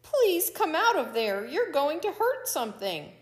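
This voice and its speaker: A woman's voice: extremely high pitch, wildly swooping pitch, unhurried, darkish tone, big-room sound, pitched above the performer's own voice, exaggerated voice. A woman voicing an arrogant, bullying coyote in a folk tale, with a demanding, exclamatory manner that can tip into frantic pleading.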